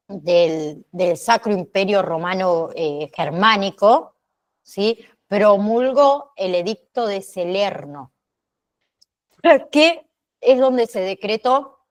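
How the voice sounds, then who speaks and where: A woman lecturing as a course teacher, often tagging her phrases with short questioning check-ins. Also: mid-range voice. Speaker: teacher of an online pharmacy assistant course. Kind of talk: online lecture, explaining history to students.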